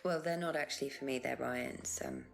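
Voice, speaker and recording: A woman's voice: extremely low pitch, huge pitch swings, normal speed, gravelly, faint music behind, full character voice. An actress playing an evasive, domineering married woman being questioned in a mystery game, guarded in manner. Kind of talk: acted dialogue in a mystery video game.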